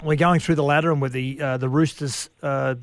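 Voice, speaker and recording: Brief: Australian male co-host, chatty and teasing, slightly high voice, dry close mic. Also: fairly even intonation, a little fast, no echo. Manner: chatty, teasing, many fillers. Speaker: sports radio co-host. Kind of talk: sports radio talk. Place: home studio.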